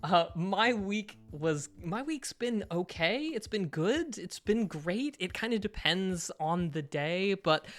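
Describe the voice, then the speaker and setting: A man's voice: sits high, lively intonation, slow pace, few pauses, fairly bright tone. A man hosting a gaming podcast, opening with showy, playful announcer flair and easy banter. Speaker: podcast host.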